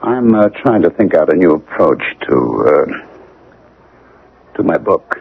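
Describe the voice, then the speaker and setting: A man's voice: sits low, animated, unhurried. An actor playing an insistent, obsessed male archaeology professor with alien memories in a 1970s radio drama. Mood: evasive, subdued. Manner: insistent, intense. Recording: thin, narrow-band 1970s radio recording.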